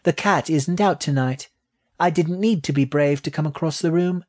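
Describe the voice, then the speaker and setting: A man's voice: fairly high pitch, normal speed, a little mellow, voice pushed up, lightly acted. A man voicing a tiny young female rat in a children's story, pert and proud, with a thin childlike voice. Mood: indignant, sulky. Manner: pert, indignant, questioning. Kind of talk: children's audiobook character.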